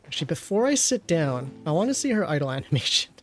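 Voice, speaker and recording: A streamer giving casual, rambling game commentary, teasing and chatting with viewers, in a middle-pitched voice. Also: big pitch swings, quick pace, faint music behind.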